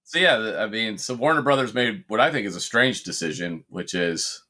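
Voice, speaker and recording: Man, very low voice, podcast host, relaxed casual chat. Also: fairly quick. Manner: laid-back, leads the discussion.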